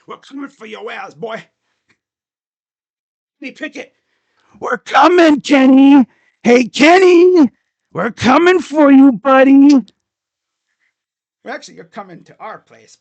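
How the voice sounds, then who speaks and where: A man recapping a game for his fan channel, his voice swinging up and down with lots of energy. His gravelly tone is wearing hoarse. He trash-talks the rival team and laughs along the way. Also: extremely high pitch. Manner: animated, trash-talking, laughs often. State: voice getting hoarse. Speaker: sports fan channel host.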